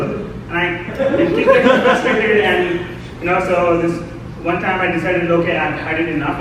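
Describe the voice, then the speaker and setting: Indian-accented man doing stand-up, high voice. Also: distant mic, background noise. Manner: deadpan storytelling with acted quotes. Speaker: stand-up comic. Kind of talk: stand-up comedy set. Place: comedy club stage. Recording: echoey club, mic picked up from afar. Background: audience laughter.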